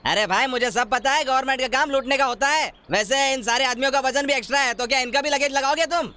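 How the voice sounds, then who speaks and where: A man's voice: extremely high pitch, quick pace, sharp tone, smooth. A man playing a belligerent, argumentative village bus passenger in a broad comedy sketch, indignant, hectoring and repeating himself. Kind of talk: broad film-style comedy sketch acting.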